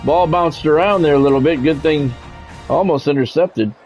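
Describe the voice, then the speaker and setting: A man's voice: a little high, normal speed, darkish tone, dull audio, faint music behind. A man doing radio-style play-by-play over a college football video game, with an announcer's cadence that builds on big plays. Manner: switches between broadcast calls and chatting with viewers. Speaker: gaming streamer doing play-by-play.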